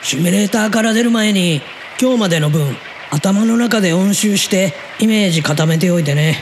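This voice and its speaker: A man playing an easygoing, chatty middle-aged uncle who is soft on women, in a Japanese audio drama. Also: sits high, moderate pace, background noise. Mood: encouraging, easygoing. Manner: casual, blunt, chatty.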